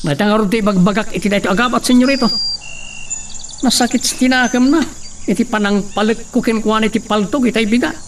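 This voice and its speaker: A man's voice: high voice, fast talker, slight background noise, natural voice. An actor playing an anxious ranch worker who explains himself to his boss, recorded from a distance.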